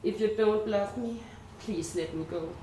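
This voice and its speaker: A Danish woman with a Danish accent reciting her own poetry at a live reading, her lines slow and rhythmic, sinking quieter at each ending. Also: medium pitch, reverberant, a little hiss or hum, poor audio. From Denmark. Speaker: poet performing at a live reading. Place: art and culture community venue.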